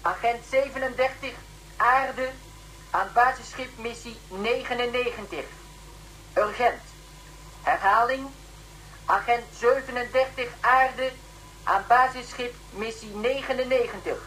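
A woman's voice: a little high, measured pace, pauses often, a bit bright, slight background noise, poor audio. A woman in a radio play playing a dutiful spaceship officer who reports in formal military phrases. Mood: formal, urgent. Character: dutiful female course-command officer on a spaceship.

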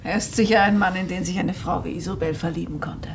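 An actress playing a reserved, disapproving woman novelist in a radio drama, cool and measured. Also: on the low side, fairly even intonation, creaky, background noise. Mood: thoughtful, pitying. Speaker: radio play actor. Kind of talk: German radio drama acting, restrained.

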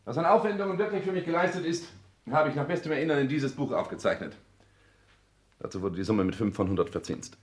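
An actor playing a cold, ambitious, self-important grown son with formal, clipped speech in a 1960s radio play, recorded roomy and slightly distant. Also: male voice, mid-range voice, lively intonation, fast, natural voice. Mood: businesslike. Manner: formal, clipped, pompous declamation.

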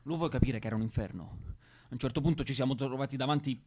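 An actor playing an angry young militant of a seventies protest movement in a radio drama, vehement and foul-mouthed. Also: male voice, mid-range voice, quick pace, noisy background, natural voice. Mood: defensive, heated. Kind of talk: naturalistic radio drama acting.

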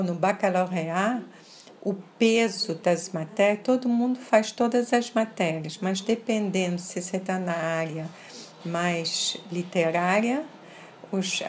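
A woman from France with a slight French accent, answering interview questions in a measured way, stressing single words and often checking in with "tá?". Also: mid-range voice, slight background noise. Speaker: interview guest. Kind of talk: casual interview conversation.

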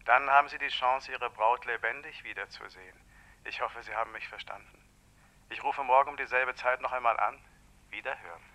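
An actor playing a coolly threatening gangland villain in a German radio play, speaking curtly and with menacing politeness. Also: male voice, medium pitch, fairly quick, bright, forceful tone, a little hiss or hum, rough recording. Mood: cold menace.

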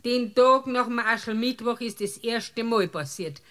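A woman's voice: a little high, unhurried, a bit bright. A woman with a Bavarian accent playing a brazen, talkative village witness in a comic radio drama, folksy and blunt. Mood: aggrieved. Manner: folksy, blunt, aggrieved storytelling.

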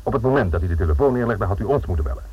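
A stern police inspector in a radio play, low and husky, with a Netherlands Dutch accent. He is formal, commanding and dryly sarcastic. The old recording is muffled and narrow, like a telephone. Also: animated, racing. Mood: reproachful, dry. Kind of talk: radio drama acting.

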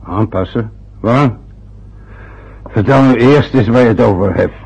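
An actor playing an irritable sixty-year-old husband in a radio play, answering curtly. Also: male voice, fairly low pitch, measured pace, creaky, darkish tone, husky, heavily acted. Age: 60s. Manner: curt, irritable. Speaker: father. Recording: dull lo-fi old radio recording.

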